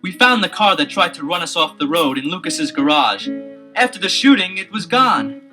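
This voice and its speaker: A man's voice: high voice, sing-song, bright, forceful tone, heavily acted. An actor playing an earnest teenage boy detective in a 1970s audio adventure, delivering lines with urgency and care. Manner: urgent, deliberate theatrical delivery. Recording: 1970s LP drama with music underneath.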